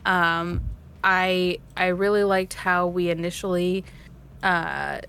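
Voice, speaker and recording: A woman's voice: fairly low pitch, steady tone, slow, vocal fry, fairly bright tone, a little hiss or hum. A woman in a cast discussion, talking reflectively and thoughtfully as she pieces her points together in long sentences. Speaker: cast member of an actual play series.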